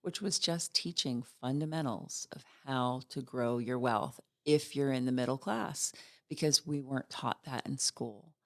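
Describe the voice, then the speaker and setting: Upbeat middle-aged woman with slight vocal fry. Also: a bass voice, a little slow. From Virginia. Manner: warm, upbeat, heartfelt. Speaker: financial executive guest. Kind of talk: casual interview chat.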